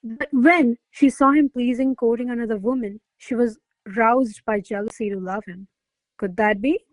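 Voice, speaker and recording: A woman acts out a jealous, imperious lady of rank in a classic radio play. Her voice is on the high side, and she orders and questions with haughty formality. The sound is close and dry. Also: steady tone, moderate pace, no echo, close to an everyday voice, poor audio. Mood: coy, pensive. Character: imperious, jealous noble lady, probing and commanding. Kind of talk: stage verse drama read as radio play.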